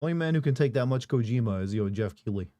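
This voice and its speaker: A man with a hoarse, recovering voice, casually chatting on a live stream and reading viewer messages aloud with rambling, joking asides. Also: mid-range voice, lively intonation, fast talker, mellow, no echo.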